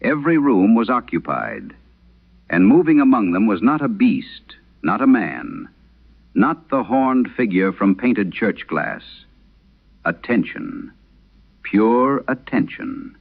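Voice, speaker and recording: A man performs an aging exorcist priest for a horror radio play, with a very low voice full of gravel. He confesses in grave, literary, measured phrases that swing widely in pitch, haunted and intense. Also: sing-song, phone-quality sound, exaggerated voice. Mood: intense, dread-filled. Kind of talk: dramatic horror radio monologue.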